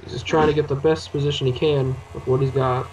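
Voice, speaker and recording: A man's voice: mid-range voice, level tone, a little fast, darkish tone, highs cut off. A young man adding casual, joking color commentary to a simulated race broadcast. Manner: joking, offhand remarks. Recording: distant mic with faint noise.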